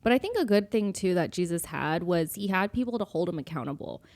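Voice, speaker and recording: A woman's voice: lowish voice, expressive, fairly quick, darkish tone. A woman podcast co-host talking casually about faith, informal and a little tentative, often saying "like" and "you know". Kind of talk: casual conversational discussion of faith.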